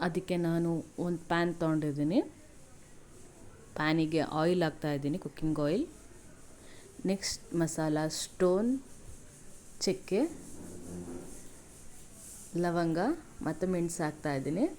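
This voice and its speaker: A woman from narrating a recipe step by step in a plain, instructional way, pausing between items. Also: low-pitched, fairly even intonation, darkish tone. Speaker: home cook presenting a recipe. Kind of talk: step-by-step cooking tutorial narration. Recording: noisy home cooking video audio.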